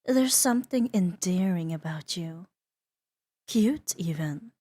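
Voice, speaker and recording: A woman voicing a seductive, menacing and playful female demon in a roleplay ASMR audio drama, teasing and imperious, drifting into breathy whispers. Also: fairly low pitch, lively intonation, slow pace, warm tone. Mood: threatening, coaxing.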